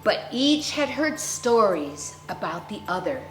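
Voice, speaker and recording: A woman storyteller reading a picture book aloud to young children with theatrical, deliberate phrasing and dramatic emphasis. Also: medium pitch, sing-song, fairly bright tone. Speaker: children's storyteller, clown character host. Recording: roomy echo, faint music behind.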